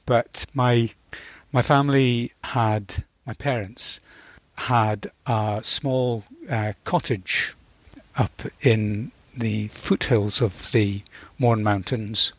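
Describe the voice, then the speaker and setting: A man's voice: mid-range voice, steady tone, very slow, no echo. Thoughtful older man, close dry sound. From Northern Ireland. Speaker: interview guest. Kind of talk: reflective reminiscence in an interview.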